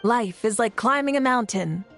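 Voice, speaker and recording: A woman's voice: a little high, normal speed, faint music behind, close to an everyday voice. A woman voicing a confident, teasing, inspirational female leader in a video game. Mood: warm, earnest. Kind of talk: video game character acting.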